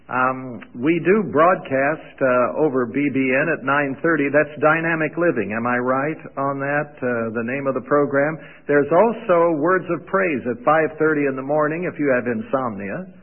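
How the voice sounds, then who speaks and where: An older man, a visiting preacher and radio Bible teacher, opening a sermon with folksy, self-deprecating humor in a loud voice. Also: medium pitch, normal speed, few pauses. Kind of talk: sermon introduction with humorous stories. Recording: tinny phone-like radio sound.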